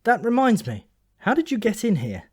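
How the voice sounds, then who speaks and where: Everyman for a comic fantasy audiobook , acted by a man: naive, plain-spoken, questioning, pitched up. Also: wildly swooping pitch, normal speed, darkish tone, breathy edge, heavily acted. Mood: puzzled. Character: ordinary modern man, naive, amused, puzzled.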